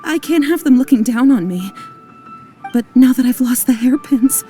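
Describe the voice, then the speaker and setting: High, soft-toned anxious merchant. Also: female voice, expressive, normal speed, soft background music. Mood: worried. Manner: hesitant, stammering, plaintive. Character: anxious, distressed young merchant woman. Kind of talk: anime game voice acting.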